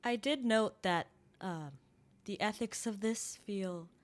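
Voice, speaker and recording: An actress playing a doubtful government staffer, hesitant and mild in naturalistic meeting dialogue. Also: female voice, medium pitch, slow, faint music behind, natural voice. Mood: tentative, doubtful. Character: tentative, doubtful government staffer, adult woman.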